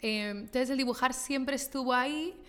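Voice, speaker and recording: A woman from Catalonia speaking Spanish with a Catalan accent, telling stories in an expressive, chatty way as a guest illustrator. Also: high voice, normal speed. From Igualada, Catalonia, Spain. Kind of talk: casual conversation. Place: Barcelona.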